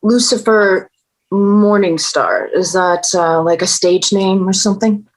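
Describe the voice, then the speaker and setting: A woman's voice: mid-range voice, expressive, measured pace. Dry, skeptical female detective. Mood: dry, probing. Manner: dry, sarcastic questioning. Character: cool, skeptical female homicide detective, smart and direct. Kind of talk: table read of a TV crime drama.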